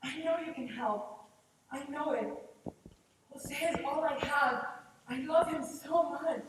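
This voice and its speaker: A very echoey, slightly noisy live theatre recording of a woman acting as a devoted, anxious young country girl. Her voice is high, and she pleads earnestly in a stage play. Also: takes it slowly, far from the mic. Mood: pleading. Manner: earnest, pleading, Spanish words mixed in. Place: theatre stage, far camera.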